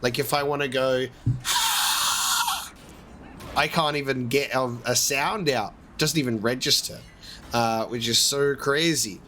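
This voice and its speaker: Man, hoarse voice, let's play host, chatty, rambling, upbeat, faint background ambience. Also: mid-range voice, measured pace, long pauses, pushed and bright, slight background noise. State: hoarse from a lost voice. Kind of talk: casual gameplay commentary.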